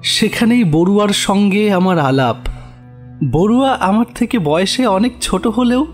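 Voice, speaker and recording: A man's voice: sits high, animated, measured pace, faint music behind, voice pushed up. A man voicing a gaunt, sickly, doomed man who confesses his guilt solemnly and politely in a horror story. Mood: haunted, recounting. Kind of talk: horror audio story character acting.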